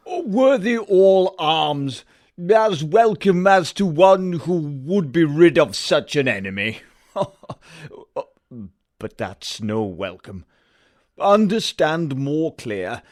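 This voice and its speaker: A man playing an effusive, excitable Greek warrior, declaiming in a Shakespearean audio drama. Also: on the high side, takes it slowly. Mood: solemn welcome.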